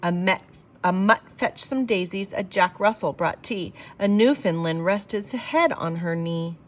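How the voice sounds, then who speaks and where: A woman reading rhyming verse aloud for children in an expressive, theatrical storytelling way, leaning on the rhymes. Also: fairly low pitch, unhurried.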